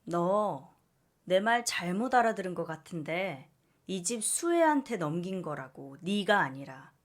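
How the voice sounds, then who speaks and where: A woman's voice: on the low side, very animated, a little slow. A woman voicing a firm, protective middle-aged aunt in a story, scolding with emphatic warnings. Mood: stern warning.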